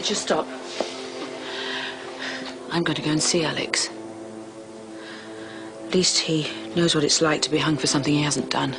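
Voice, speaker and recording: An actress playing a troubled, guarded mother and doctor, speaking haltingly in a naturalistic drama style. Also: deep voice, steady tone, vocal fry, music in the background. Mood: strained, defensive. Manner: hesitant, halting. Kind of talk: naturalistic screen drama acting.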